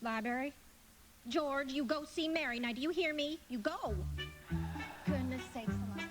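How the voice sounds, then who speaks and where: Brief: actress playing affectionate but bossy middle-aged mother, slightly high voice, live radio drama with clear music. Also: normal speed, lightly acted. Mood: scolding fondly. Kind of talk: live radio play acting.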